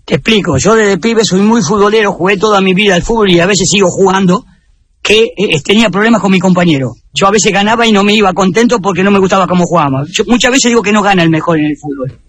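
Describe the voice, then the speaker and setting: An Argentine man answering interview questions in a quick, emphatic rush. His voice sits high and carries a husky edge. He presses his opinions hard and keeps checking that you follow. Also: a bit bright, dry recording. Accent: Argentine Spanish. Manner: emphatic, insistent, tag questions. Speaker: interview guest, football fan. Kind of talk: casual opinionated chat.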